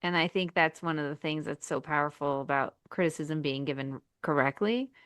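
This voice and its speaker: A woman's voice: low-pitched, brisk, a bit bright, clear, resonant tone, dry recording, studio quality. A woman hosting a podcast as a coach, speaking reflectively and with emphasis. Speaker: podcast host, marriage coach. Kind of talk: casual conversation.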